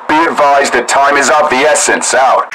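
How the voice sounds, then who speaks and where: Clipped National Guard radio operator. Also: male voice, mid-range voice, moderate pace, crowd noise. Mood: commanding. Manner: clipped, formal radio call-outs. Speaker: military radio dispatcher. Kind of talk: military radio procedure.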